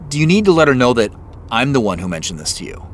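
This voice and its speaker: Understated man with a lively pitch. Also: fast talker, a little hiss or hum. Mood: uneasy. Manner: hesitant, apologetic, understated. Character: uneasy, polite, evasive professional man. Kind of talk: naturalistic audio drama acting.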